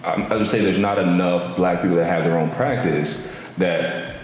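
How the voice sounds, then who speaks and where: Casual male podcast host, lowish voice; distant, echoey, noisy room. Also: fairly even intonation, moderate pace. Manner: easygoing, repetitive, affirming "yeah". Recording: echoey far-off room with noise.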